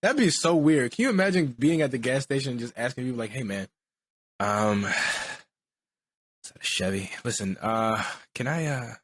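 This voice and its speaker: A man's voice: medium pitch, animated, normal speed, dry recording. A young man with a Philadelphia accent, sick, hosting a podcast in casual joking chat that is full of laughs. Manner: joking, laughs often, rambling. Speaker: podcast host.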